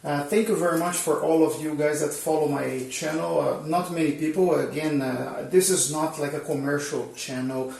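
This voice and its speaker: Man, native Portuguese speaker, gravelly voice, slightly high, echoey room, mic set back. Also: steady tone, normal speed, hardly any pauses, darkish tone. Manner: hesitant, many fillers and restarts. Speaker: channel host. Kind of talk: casual instructional talk. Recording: roomy echo, mic at a distance.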